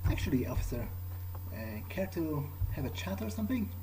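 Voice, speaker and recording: A man improvising in character as he plays a game, speaking hesitantly and in a measured way with pauses. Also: a little high, sing-song, noisy background. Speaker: gamer playing in character. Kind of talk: improvised in-character role-play over a game.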